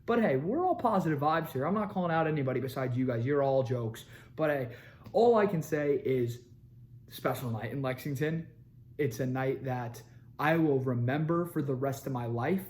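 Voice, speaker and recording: A man's voice: mid-range voice, normal speed, a little hiss or hum. Fired-up young man ranting about football. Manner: animated, repeats lines for emphasis, ranting. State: slightly raspy from screaming. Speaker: sports show host. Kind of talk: fired-up sports commentary to camera.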